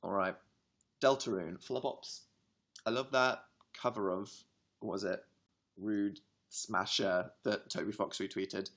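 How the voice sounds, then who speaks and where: A man's voice: fairly low pitch, steady tone, unhurried, pauses often, a bit bright. A man co-hosting a casual livestream, talking in a dry, teasing, sarcastic way. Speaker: co-host.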